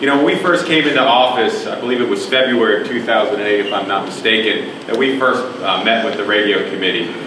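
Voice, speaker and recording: A man speaking as a county commissioner at a press conference, steady and measured. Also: lowish voice, recorded from a distance, noisy background. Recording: echoey room, mic from afar.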